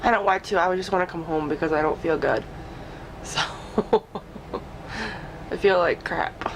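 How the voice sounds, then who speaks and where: A woman's voice: lowish voice, normal speed, noisy background. Sick, stuffy-nosed woman vlogging. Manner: chatty, self-mocking, laughs often. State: sick with a stuffy nose, voice coming and going. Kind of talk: casual daily vlog talking to camera. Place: at home indoors.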